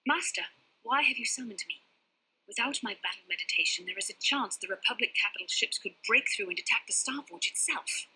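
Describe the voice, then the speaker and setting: An actress playing a proud young woman fallen to the dark side, intense and haughty in a dramatic video game style. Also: a little high, animated, fairly quick, breathy edge, full character voice, poor audio. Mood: submissive, uneasy.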